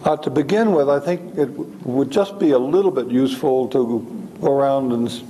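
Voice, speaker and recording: A man's voice: mid-range voice, normal speed, dark tone, a little hiss or hum, poor audio. A man facilitating a group meeting, speaking casually and hesitantly as he lays out the plan. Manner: hesitant, thinking aloud.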